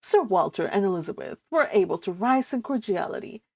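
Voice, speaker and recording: A Californian woman hosting a read-along, reading a novel aloud and chatting about it in an informal, animated manner. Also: mid-range voice, brisk, no echo. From California, United States. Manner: animated, informal, exclaiming asides. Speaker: host of a live read-along.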